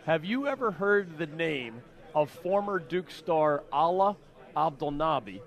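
A man hosting a radio interview, talkative and fond of jokes, with a somewhat high voice. He keeps the questions coming and chats easily with his guest. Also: big pitch swings. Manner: talkative, quick, jokey. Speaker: radio show host.

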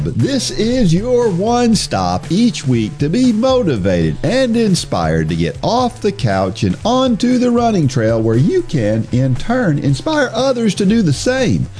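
A man's voice: fairly high pitch, dark tone. A middle-aged man from New Jersey hosting a faith-based running podcast, talking with a stuffy, congested nose in a folksy, chatty way. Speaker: podcast host. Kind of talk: casual chat and scripted intro.

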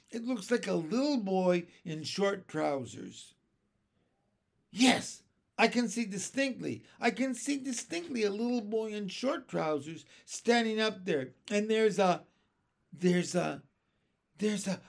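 A man's voice: high voice, creaky, heavily acted. A man voicing an astonished, blustering ship captain in a storybook, exclaiming and stammering in alarm.

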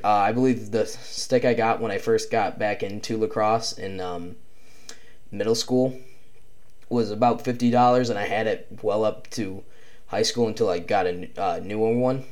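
A young man hosting his own podcast, talking casually and rambling with many pauses and restarts, with a slight cough. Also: lowish voice. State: slight cough, chest feels off. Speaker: podcast host. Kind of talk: casual solo podcast talk.

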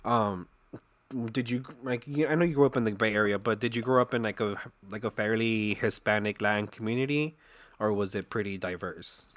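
Laid-back low-voiced streamer host. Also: male voice, fairly quick, fairly bright tone. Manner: laid-back, laughs often, retells chats with quotes. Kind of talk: casual conversation.

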